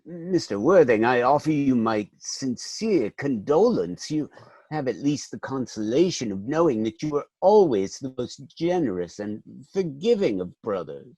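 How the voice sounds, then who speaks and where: A man's voice: mid-range voice, sing-song, normal speed, warm tone, dry recording. A man playing a pedantic, mild, middle-aged clergyman in a dramatic reading of a stage comedy, speaking in pompous phrases. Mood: solemn concern.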